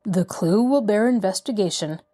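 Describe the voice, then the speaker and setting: A woman voicing a courteous middle-aged male lawyer who speaks in a measured, reassuring way. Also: mid-range voice, mellow, natural voice. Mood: calm.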